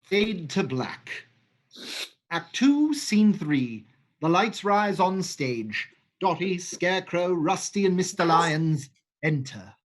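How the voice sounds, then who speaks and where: A man reading stage directions aloud in an even, matter-of-fact way. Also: high voice, takes it slowly.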